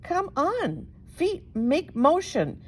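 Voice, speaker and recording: A woman's voice: high voice, wildly swooping pitch, drawn-out words, a little hiss or hum, pitched above the performer's own voice, exaggerated voice. A woman voicing a frightened young girl bunny in a storybook, urgent and pleading. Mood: panicked. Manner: urgent, exclaiming.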